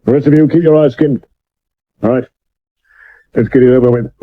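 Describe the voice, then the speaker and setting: A man's voice: mid-range voice, fairly quick, lightly acted. A man playing a brusque, dogged Victorian police inspector in a period radio drama, terse and commanding. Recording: muffled 1970s medium wave radio recording.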